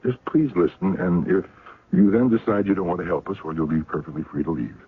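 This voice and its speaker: An actor playing a courteous, evasive older man who heads a secret intelligence outfit, speaking in an unhurried way full of hums and hesitations. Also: deep voice, breathy edge, full character voice. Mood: persuasive. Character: genial older male spymaster, smooth and evasive. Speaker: head of an intelligence operation. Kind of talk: 1970s radio drama acting. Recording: tinny, lo-fi old radio broadcast.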